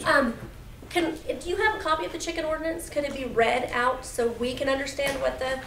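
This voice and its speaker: A woman's voice: high voice, fairly even intonation, a little fast, creaky, a bit bright, a little hiss or hum. A woman from the public making an unscripted comment at a hearing. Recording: distant, echoey room with crowd murmur.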